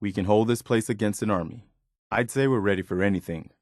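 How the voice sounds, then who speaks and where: A man acting as a dutiful militia officer in a video game. His voice is on the low side and recorded dry and close. He speaks plainly and earnestly, like a loyal idealist who believes in the cause. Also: no echo, close to an everyday voice. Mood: wary, determined. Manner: earnest, measured, plain-spoken. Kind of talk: video game character dialogue.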